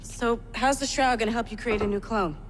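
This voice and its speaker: An actress playing an anxious young woman accomplice, sharp and worried in tone. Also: fairly high pitch, brisk, long reverb tail, slight background noise, close to an everyday voice, low-quality recording. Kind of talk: video game cutscene acting.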